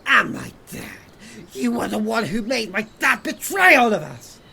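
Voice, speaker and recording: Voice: mid-range voice, very animated, unhurried, creaky, roomy sound, big-room sound, full character voice. Zekrai, an actor doing a bitter young male exile. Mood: defiant. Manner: resentful, swinging to loud defiant shouts. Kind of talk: amateur audio drama acting.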